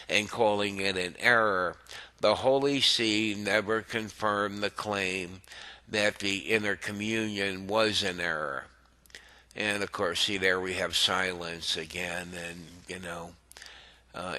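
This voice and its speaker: A man with a low, bright and forceful voice reads a written article aloud. His delivery is deliberate and measured, taking its time through each sentence as a commentary host would. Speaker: host of a religious commentary channel.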